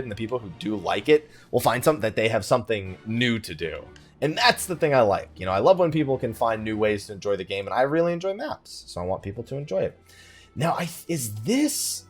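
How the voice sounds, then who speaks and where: A man giving casual let's play commentary, chatting and rambling as he thinks aloud while he plays. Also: mid-range voice. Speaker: gaming YouTuber, let's play host.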